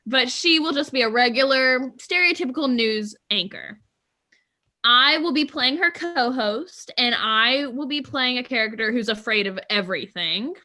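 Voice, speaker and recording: Woman explaining the next improv game, chatty, high bright voice. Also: animated, moderate pace. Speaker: improv performer and game announcer.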